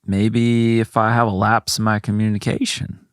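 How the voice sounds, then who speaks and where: A man's voice: fairly low pitch, level tone, normal speed, clear, resonant tone, no room sound, clean studio sound. A man co-hosting a podcast as a guest, chatting casually with short reactions.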